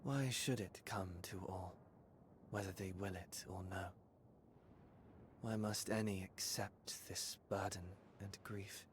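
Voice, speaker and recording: An actor playing a proud, brooding man in a video game, speaking gravely in formal, archaic language. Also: sits low, background noise. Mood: sorrowful, resolute. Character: proud, brooding man turned small, bodiless being. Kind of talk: video game cutscene acting. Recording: game music behind voice. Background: music.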